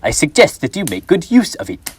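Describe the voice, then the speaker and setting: A young man acting as a miserly, bitter old man in a school stage play, gruff and contemptuous. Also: a little high, wildly swooping pitch, a little fast, heavily acted. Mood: scornful. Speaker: stage actor.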